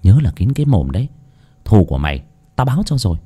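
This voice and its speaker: A sly, cheeky young village lad, as a male storyteller puts him on. His voice jumps high and bright as he teases, swinging all over in lively mockery. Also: fast, husky. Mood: mocking. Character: cheeky clever young peasant lad, mocking.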